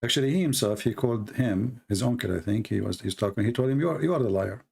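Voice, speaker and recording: A man's voice: mid-range voice, expressive, fast talker, creaky, darkish tone, slightly rough. A man with an Arabic accent, a native Arabic speaker from the Middle East, hosting a talk show in blunt, mocking casual commentary with broken English grammar. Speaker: debate show host.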